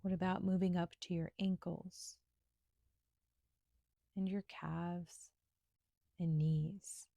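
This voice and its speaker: A woman instructor leading a guided meditation in a gentle, soothing, unhurried manner with pauses. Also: lowish voice, flat delivery, gravelly, no room sound, clean studio sound.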